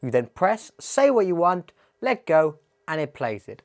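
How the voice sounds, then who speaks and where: A man's voice: on the high side, big pitch swings, a little slow. A man presenting a tech tutorial, explaining a demo in a plain-spoken, step-by-step way.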